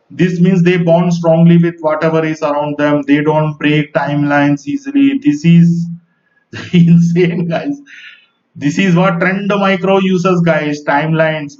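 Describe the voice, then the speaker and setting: A man's voice: fairly high pitch, darkish tone, roomy sound, far from the mic, lo-fi sound. A man with an Indian accent, talking in an earnest, rambling way, with small laughs and a habit of saying "guys". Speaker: spiritual video host. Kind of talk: casual monologue to camera.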